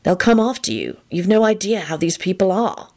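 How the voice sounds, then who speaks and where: A woman voicing a tormented young male wizard in love, speaking gravely and earnestly in a story. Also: medium pitch, big pitch swings, normal speed, a little mellow, pitched above the performer's own voice. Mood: worried. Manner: grave, earnest, self-reproaching.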